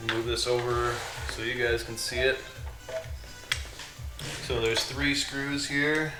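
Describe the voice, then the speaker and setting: Man, low voice, hobby builder talking through his work, stops and restarts, distant mic, echoey room, music playing clearly behind. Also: fairly even intonation. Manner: thinks aloud while working, with halting restarts. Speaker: maker hosting a live build stream. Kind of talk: casual live workshop commentary. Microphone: condenser mic set away from him. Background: music from a streaming app.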